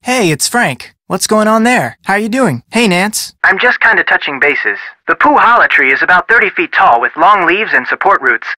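An actor playing a friendly young American amateur detective in a video game, casual, folksy and chatty. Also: male voice, high voice, expressive, normal speed, hardly any pauses, pushed and bright, no room sound, full character voice, low-quality recording. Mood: breezy.

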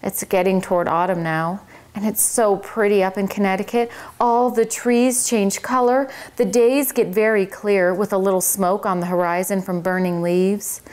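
A woman reading aloud and voicing a gentle, homesick male cricket who speaks softly. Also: medium pitch, fairly even intonation, close to an everyday voice. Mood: wistful. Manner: soft, wistful, unhurried. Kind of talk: children's book read-aloud.

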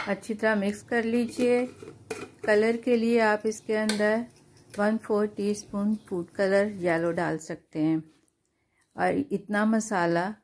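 Calm woman explaining a home recipe. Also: medium pitch. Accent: Urdu-influenced Hindustani. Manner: calm, explanatory, addresses viewers directly. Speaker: home cooking channel host. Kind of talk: step-by-step cooking instructions. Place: home kitchen at the stove.

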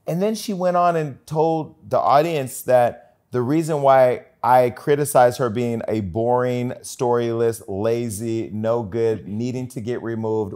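A man's voice: mid-range voice, darkish tone. Man, forties, talk-show host, mid-range voice, teasing and provocative, studio set. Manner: teasing, provocative questioning, quick asides. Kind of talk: gossipy talk-show interview. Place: bright studio set with city view.

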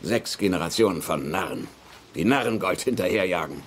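Bitter middle-aged dad, lowish voice, dry scorn, film dub, bit of noise behind. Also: male voice, animated, a little fast. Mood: bitter, weary. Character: bitter, disillusioned middle-aged father scorning treasure hunts. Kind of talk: dubbed film dialogue.

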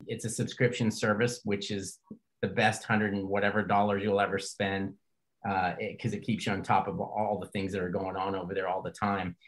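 A man's voice: low-pitched, little pitch movement, moderate pace, low-quality recording. An older man hosting a motorsport podcast, introducing and questioning a guest in a rambling, folksy, joking way. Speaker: podcast host. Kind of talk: casual podcast interview.

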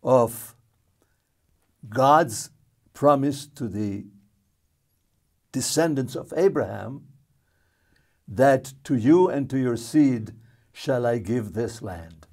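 Older man, gravelly and halting. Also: mid-range voice, animated, darkish tone. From Jerusalem. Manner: slow, halting, with many fillers and restarts. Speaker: interview guest. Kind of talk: reflective studio interview. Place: TV studio. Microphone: lapel mic.

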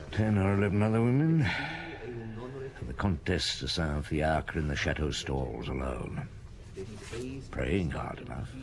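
An actor playing a middle-aged chief inspector, reflective and measured, in a British radio drama style. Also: male voice, extremely low pitch, gravelly, full character voice. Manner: measured, musing, clipped sentences. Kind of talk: British radio drama acting, with interior monologue. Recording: old radio broadcast with background bustle.

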